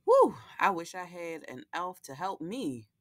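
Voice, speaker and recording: A woman's voice: lowish voice, huge pitch swings, unhurried, no room sound, clean studio sound. Woman reading as a harried animal mother.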